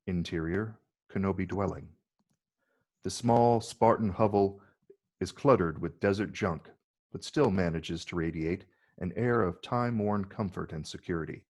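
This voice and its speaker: A man's voice: deep voice, measured pace. A man narrating scripted stage directions in a table read.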